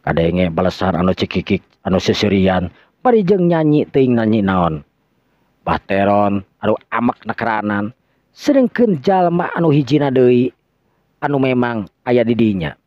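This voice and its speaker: A male storyteller with a Sundanese accent delivers dramatic folk-tale narration. His pitch moves widely, and he repeats phrases for theatrical effect. The sound is dry and a bit dull. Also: big pitch swings, normal speed, no echo. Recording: dull lo-fi close recording.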